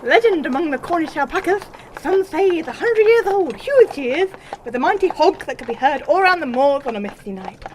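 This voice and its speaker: Audio drama: folksy, wary carter, played by a woman, high-pitched, rambling dialect, faint background noise. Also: animated, fairly quick, few pauses, rough recording. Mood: folksy, warm. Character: kindly rustic male Cornish cart driver, giant, wary. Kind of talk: comic audio drama acting.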